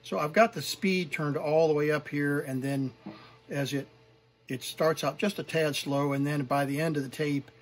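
A middle-aged man presenting from home, showing vintage gear in an unscripted, halting, informal and appreciative way. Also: on the high side, steady tone. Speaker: vintage tape recorder collector and presenter. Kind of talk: unscripted hands-on demonstration.

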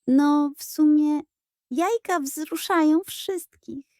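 A woman voicing a proud, excitable hen in a children's bedtime story, with boastful exclamations. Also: high-pitched, slow pace, dry recording, studio quality, soft background music, pitched above the performer's own voice. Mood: pleased.

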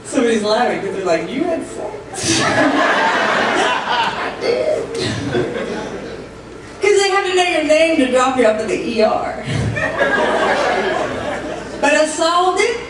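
A woman in her forties performs stand-up with a high, playful, animated voice. She is telling stories on a club stage, heard from far off through heavy echo, with clear audience noise around her. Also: slow, long pauses. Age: 40s. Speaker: stand-up comedian. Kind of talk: live stand-up comedy routine. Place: comedy club stage, indoors. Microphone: handheld mic, captured by far camera. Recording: distant, echoey club recording with audience noise. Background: audience crowd noise and laughter.

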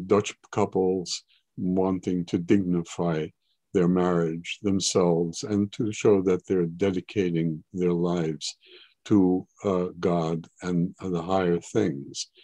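An older man giving an online art history talk as a guide, measured and explanatory with occasional hesitations, over a webcam. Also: deep voice, warm tone, no echo. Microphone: webcam on video call.

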